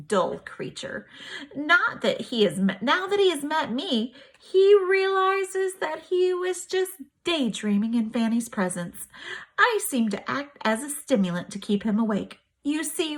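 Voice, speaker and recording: A woman reading a diary aloud in an expressive, storytelling manner, like a teacher reading to students. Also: fairly high pitch.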